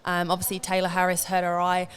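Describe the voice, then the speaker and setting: A woman's voice: lowish voice, completely flat delivery, fast talker, clear, resonant tone. A woman in her thirties from England with an English accent, chatting upbeat as an interview guest. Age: 30s. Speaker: guest, boxer. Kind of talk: casual interview chat.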